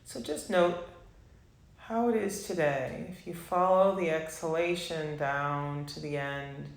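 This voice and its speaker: Slow, creaky-voiced woman guiding meditation. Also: low-pitched, roomy sound. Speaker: meditation teacher. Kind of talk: guided meditation instruction.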